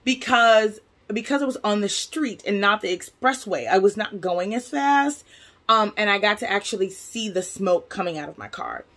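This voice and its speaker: An American woman casually telling a personal story to her viewers, animated and slangy, voicing both sides of conversations as she goes. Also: mid-range voice, normal speed. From United States. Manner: animated, slangy, conversational, acts out reported dialogue. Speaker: vlogger.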